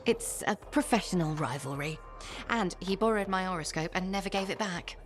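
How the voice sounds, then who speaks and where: A woman's voice: on the low side, normal speed, faint music behind, natural voice. A woman playing a candid, dryly humorous scholar in a video game, with a chatty, easily flustered manner. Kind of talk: video game dialogue acting.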